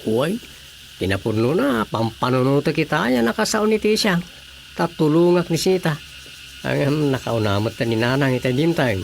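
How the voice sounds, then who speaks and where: Give this to a talkative, thoughtful villager in an Ilocano radio drama. His Filipino voice has an Ilocano accent and sits a little high, with a heavy gravelly creak. A faint layer of background noise sits under the recording. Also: very animated, normal speed. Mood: gossiping, sympathetic.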